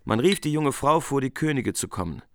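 Male narrator for a radio epic, measured delivery, noisy background hiss. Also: mid-range voice. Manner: calm, measured. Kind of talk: scripted narration.

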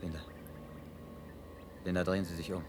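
An actor playing a tense, bitterly sardonic catastrophe survivor in a German radio drama, commanding and urgent in manner. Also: male voice, low voice, little pitch movement, normal speed, noisy background, lightly acted. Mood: afraid, commanding.

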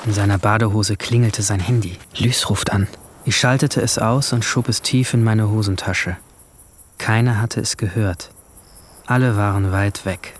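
Man as a low-voiced, brooding teenage boy. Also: lightly acted. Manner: hushed, introspective, at times whispering. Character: brooding, alienated seventeen-year-old boy narrating his guilt. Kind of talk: first-person radio drama narration.

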